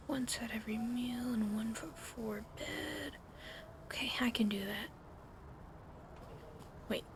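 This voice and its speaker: A woman whispering softly in an ASMR roleplay, caring but gently bossy, recorded close to the mic at home with a fan running behind her. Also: on the high side, gravelly. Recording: fan noise in background.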